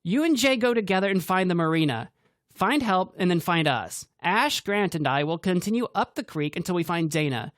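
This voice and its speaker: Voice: medium pitch, animated, moderate pace, no room sound, studio quality, voice pushed up, natural voice. A narrator voicing a bossy, foul-mouthed young woman who barks orders and snaps at others. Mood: commanding. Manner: sharp, commanding, swearing.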